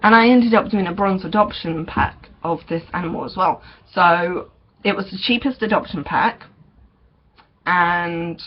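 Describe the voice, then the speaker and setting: A young man, chatting casually in a vlog update, hesitant with many ums and small laughs. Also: on the high side. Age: young adult. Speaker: vlogger. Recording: dull lo-fi recording with faint noise.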